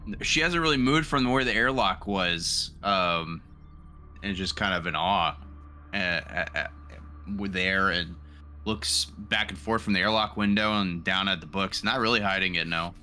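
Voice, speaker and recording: Deep, bright-voiced male tabletop player. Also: faint music behind. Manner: halting, searching for words. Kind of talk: casual in-game narration of own character.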